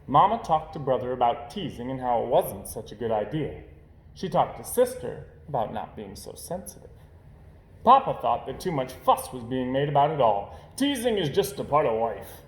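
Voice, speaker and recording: A man reading a children's picture book aloud with animated, dramatic emphasis. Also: mid-range voice, moderate pace, roomy sound, poor audio. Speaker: storybook reader.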